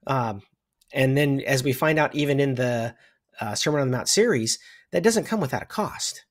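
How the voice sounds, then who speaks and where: A man's voice: mid-range voice, animated, moderate pace. An American man in his fifties, a guest thinking aloud in a casual religious discussion over a video call. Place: indoor room at home, video call.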